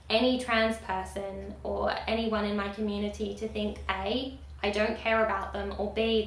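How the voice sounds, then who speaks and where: A woman giving outspoken, emphatic commentary in a video blog, talking loudly and firmly about what she stands for. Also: medium pitch, roomy sound, slight background noise, poor audio. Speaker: video creator. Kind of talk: opinionated commentary vlog.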